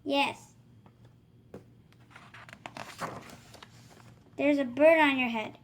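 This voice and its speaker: A woman voicing a calm, matter-of-fact young female pig from a children's picture book. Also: high voice, normal speed, a little hiss or hum.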